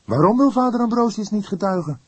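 An emphatic young detective, acted by a male reader in a crime audiobook. The voice jumps up high and swings freely. He is inquisitive and quick to indignation. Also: animated, darkish tone, husky, no room sound. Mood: puzzled, probing. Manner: emphatic, questioning. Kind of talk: audiobook character acting.

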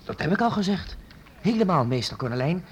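An actor playing a loyal, earnest young apprentice in a radio play, stammering at times. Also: male voice, fairly high pitch, big pitch swings, a little fast, slight background noise. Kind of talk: radio drama acting.

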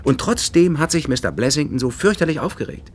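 A man's voice: a little high, expressive, brisk, husky. An actor playing an earnest, courteous doctor in a radio play, telling his story politely and eagerly. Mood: eager, puzzled. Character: earnest, courteous male doctor recounting a mystery. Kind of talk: German radio play acting.

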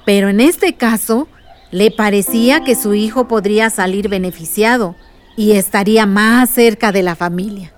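An actress playing a patient storyteller who explains village history, in a radio drama. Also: female voice, mid-range voice, normal speed, natural voice. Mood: explaining.

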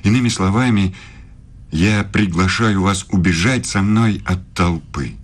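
A very low male voice, a middle-aged professor in a radio play. He courts in formal, measured phrases, intimate and insinuating. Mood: earnest, guarded. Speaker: radio drama actor.

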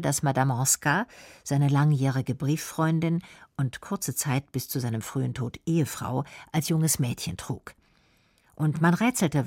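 Woman, low pitch, husky tone, scripted documentary narration, dry studio-style sound. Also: a little mellow, dry recording. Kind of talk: scripted radio feature narration.

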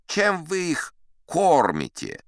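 A man's voice: a little high, huge pitch swings, takes it slowly, vocal fry, no echo, studio quality, voice pushed up, heavily acted. A shrewd, smug merchant from an adventure story, acted by a male narrator. His voice sits raised and slides around melodically, clean and insinuating as he coaxes his listener toward a bargain. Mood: cajoling.

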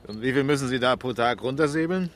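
Man playing uneasy, probing fellow, medium-pitched voice. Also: fast, natural voice. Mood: curious, casual. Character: inquisitive, uneasy East German man, politely probing, reserved. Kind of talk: naturalistic 1970s radio crime drama acting.

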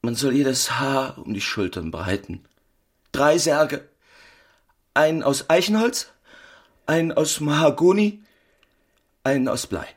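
An actor playing a grief-stricken, stubborn widower in a German radio drama, his voice husky and creaky. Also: medium pitch, lively intonation, unhurried, long pauses. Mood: defiant anguish.

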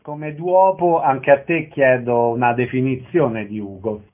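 A man's voice: mid-range voice, a little slow. A man hosting a conversational radio interview and putting questions to his guests. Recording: narrow, phone-like radio sound.

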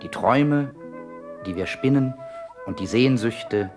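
An actor playing a cheeky, self-assured young writer in a radio play, with a sly, polite manner. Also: male voice, mid-range voice, lively intonation, slow pace, over music. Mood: reflective. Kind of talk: radio play acting and narration.

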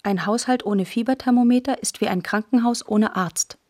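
A woman's voice: on the high side, normal speed, a little mellow. Plain-spoken woman reading terse literary prose. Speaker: narrator in a radio literary feature.

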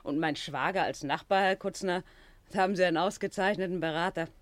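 A woman's voice: fairly low pitch, brisk, no room sound, close to an everyday voice. An actress playing a self-assured, slightly imperious upper-class lady in a 1950s German radio play. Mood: composed, chatty. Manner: genteel, decisive, slightly imperious.

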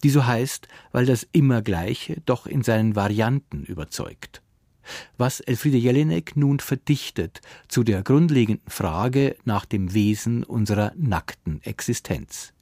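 A man's voice: mid-range voice, expressive, a little slow, gravelly, warm tone, no room sound, studio quality. A man presenting a radio drama, reading a scripted introduction in the role of host. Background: music.

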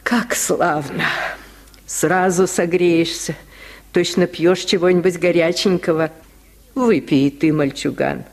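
A man's voice: high-pitched, fairly even intonation, takes it slowly. A man voicing a poor, tired washerwoman, a plaintive and affectionate mother, in an old radio story recording. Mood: tender, chilled. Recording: 1950s radio recording, long reverb.

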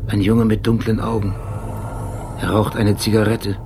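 An actor playing an obsessive, brooding police detective who recounts memories precisely in short sentences, in a radio drama. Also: male voice, fairly low pitch, flat delivery, normal speed, background noise, lightly acted. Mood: brooding, remembering. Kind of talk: scripted radio drama monologue.

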